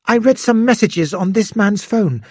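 A man's voice: high-pitched, expressive, slightly rough, no echo, studio quality, voice pushed up, full character voice. A man voicing a nervous, hesitant young Japanese man who halts and pleads as he speaks. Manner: halting, pleading.

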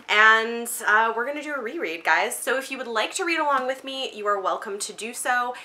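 A woman's voice: on the high side, animated, brisk, pushed and bright. A woman in her thirties chatting casually and emphatically to camera as a book vlogger in a room at home. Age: 30s. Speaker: book vlogger, channel host. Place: small home room with bookshelves, indoors.

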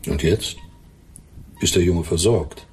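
Calm low-voiced hospital doctor, radio play, reassuring, bit of background noise. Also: male voice, slow. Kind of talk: German radio drama acting.

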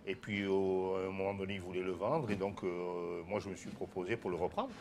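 An older man, a restaurant owner talking about his bar in a gruff, joking, halting way, on a lapel mic. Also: low voice, steady tone, a little mellow, slight background noise. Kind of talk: casual interview. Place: small wine bar, indoors.

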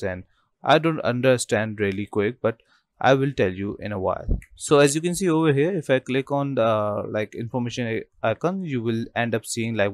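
A man hosting an informal software tutorial, talking through each step in a halting way with habitual filler phrases. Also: fairly low pitch, no echo. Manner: halting, repeats filler phrases like obviously and you know. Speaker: tutorial host.

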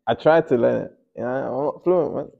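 A man's voice: medium pitch, moderate pace, vocal fry, husky, phone-quality sound. A young man from Nigeria chatting casually while he paints in a small home studio, often mumbling to himself as he works. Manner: laid-back, mumbles to himself while working. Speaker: painter and host.

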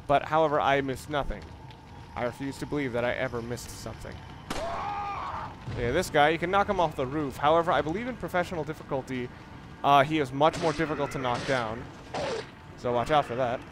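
A man with a somewhat high-pitched voice talks through a video game as he plays it. His explanations are analytical and halting, unscripted. Game sounds and noticeable noise run behind his voice. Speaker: let's play commentator. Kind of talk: unscripted gameplay commentary. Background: video game sounds and music.

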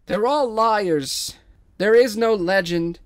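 A scornful woman mechanic in a space story, voiced by a male reader. She speaks out bluntly and accusingly, pitched up and defiant. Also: slow pace, no room sound, studio quality. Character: defiant, disgusted young woman, station mechanic.

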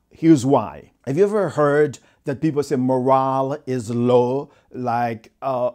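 A man's voice: medium pitch, warm tone. A middle-aged man with a Haitian accent speaks straight to camera as a motivational speaker, talking earnestly about the workplace, recorded in a studio on a lapel mic. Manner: earnest, gestures with both hands while talking. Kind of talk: direct-to-camera talk.

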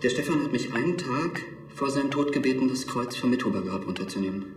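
A man's voice: mid-range voice, expressive, fast talker, music in the background, lightly acted. An actor playing a taciturn, solemn man in the funeral trade in a TV crime comedy, speaking tersely in an echoey hall. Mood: matter-of-fact. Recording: echoey hall, long reverb.

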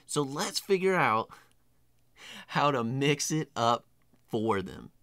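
A middle-aged man presents a casual software walkthrough with a very lively, swinging intonation. His pitch sits in a middle range, and he delivers steps with tongue-in-cheek, playful exaggeration. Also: slow, clean tone, no echo. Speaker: tutorial host. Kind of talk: casual screen-share software tutorial.

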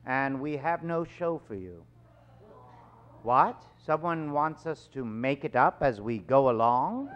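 A man's voice: high voice, a bit bright. A middle-aged man hosting a live improvised radio show on a theater stage, in a theatrical, courteous old-time announcer style. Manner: theatrical, hesitant, courteous showman patter. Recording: dull, lo-fi live stage recording.

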